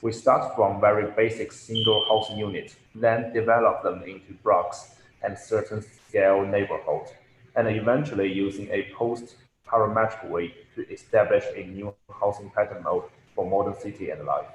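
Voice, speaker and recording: A young man presents a thesis in a careful, somewhat halting way. His voice is on the low side. It carries room echo and a faint hiss from a call mic. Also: fairly even intonation. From Shanghai, China. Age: young adult. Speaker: graduate student presenting. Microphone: computer mic on a video call. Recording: echoey room with faint noise.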